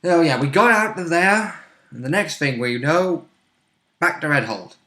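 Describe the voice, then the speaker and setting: A man's voice: fairly high pitch, lively intonation, measured pace, bright, forceful tone. A man chatting casually as a player about a tabletop role-play game. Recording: roomy, echoey indoor recording.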